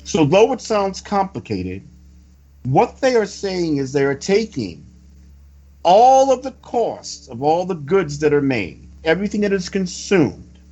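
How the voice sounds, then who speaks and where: A man delivers a solemn, scripted religious address in formal, measured phrases. His fairly high voice swings widely in pitch as he speaks slowly. The recording is dull and lo-fi. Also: darkish tone. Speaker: religious reader delivering a prophecy report. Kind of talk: sermon-like scripted reading. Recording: dull lo-fi recording with music behind. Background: music.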